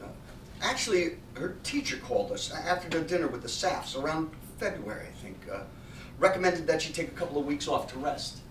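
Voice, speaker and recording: A man's voice: on the high side, very animated, brisk, fairly bright tone. A man playing an anguished, cynical father with a short fuse in a staged drama reading. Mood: worried, hesitant. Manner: hesitant, then explosive. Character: anguished, cynical father, quick to explode in anger. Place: theatre stage, indoors. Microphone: far camera. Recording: distant, echoey stage with background noise.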